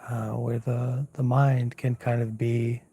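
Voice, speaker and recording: A man teaching as a monk leads an unscripted guided meditation in a hushed, soft, slightly husky voice, unhurried and pausing often as he gently gives instructions. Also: medium pitch, flat delivery. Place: outdoors at night, lying down under a mosquito net.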